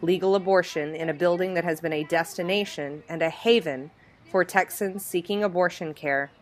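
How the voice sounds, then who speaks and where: A woman with a notably low voice narrates a news documentary from a script. Her delivery is measured and even, the steady tone of a reporter's voice-over.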